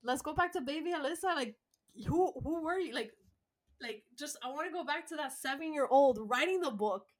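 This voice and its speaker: A woman's voice: high-pitched, expressive, brisk. A woman podcast host asking questions in an upbeat, enthusiastic way, slipping in Spanish phrases. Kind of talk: casual podcast interview.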